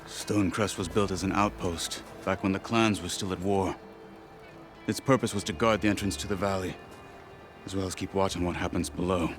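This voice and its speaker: Man acting a very deep, grim warrior marshal. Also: steady tone, faint music behind, lightly acted. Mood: grave, informative. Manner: terse, stern, weighty deliberate delivery. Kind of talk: dramatic video game cutscene acting.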